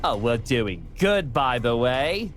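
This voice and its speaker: An actor playing a smug, menacing rich homeowner in a visual novel game, mocking and condescending in a theatrical way. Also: male voice, mid-range voice, big pitch swings, slow, slight background noise. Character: smug, menacing wealthy male homeowner villain. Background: faint music.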